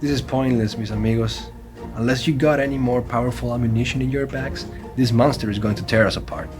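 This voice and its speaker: Mid-pitched male actor as a grave monster hunter, terse, weary, music underneath, audio drama. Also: steady tone, a little fast, close to an everyday voice. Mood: grim urgency.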